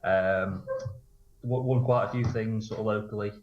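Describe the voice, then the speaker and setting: A man's voice: fairly low pitch, steady tone, moderate pace. A man answering casually as a football coach in a radio interview, matter-of-fact and a little hesitant in his phrasing. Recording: roomy, slightly dull radio interview sound.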